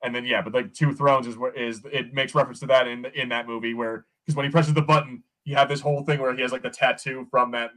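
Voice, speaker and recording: A man's voice: medium pitch, steady tone, fast talker, hardly any pauses, fairly bright tone, low-quality recording. A man co-hosting a podcast in casual pop culture banter, with a sweary, sardonic way of talking. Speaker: podcast co-host.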